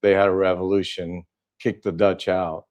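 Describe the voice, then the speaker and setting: A man's voice: low voice, little pitch movement, moderate pace, a little mellow. An older man from Southern California, a guest in a casual interview, talking with many fillers and restarts.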